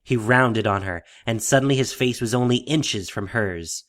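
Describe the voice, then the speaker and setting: A man's voice: medium pitch, moderate pace, fairly bright tone. A man narrating a fantasy audio drama from a script in a dramatic storytelling style.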